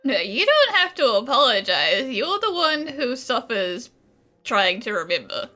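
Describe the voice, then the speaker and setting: Woman as a high, lively, playful young companion. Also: unhurried, faint music behind, heavily acted. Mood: caring.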